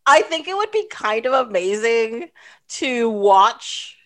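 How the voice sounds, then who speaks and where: A woman's voice: a little high, big pitch swings, takes it slowly, fairly bright tone. Upbeat Canadian woman hosting a podcast. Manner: upbeat, laughs often, filler-heavy. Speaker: podcast host. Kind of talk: casual chat.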